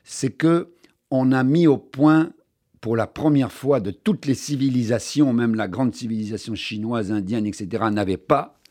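An older man talks as an interview guest. He tells his story with animated emphasis and often restarts his sentences mid-thought. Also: mid-range voice, moderate pace. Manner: animated storyteller, many restarts, stressed key words. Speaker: author and journalist guest. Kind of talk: conversational interview.

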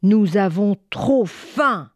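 A woman's voice: medium pitch, normal speed, darkish tone, no echo, close to an everyday voice. A woman storyteller voicing hungry, clamoring male soldiers with an insistent, chanting delivery.

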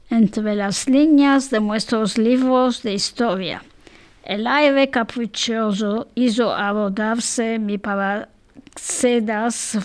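Woman, slow careful reading, fairly high voice, occasional restarts. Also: a little mellow. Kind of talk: translated reading of a literary text.

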